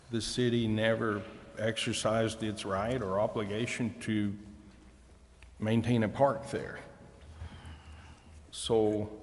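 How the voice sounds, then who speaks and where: American man, civic meeting remarks, halting and unhurried, lowish voice, faint noise in a big room. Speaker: city council member. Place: council chamber. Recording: echoey hall, faint noise.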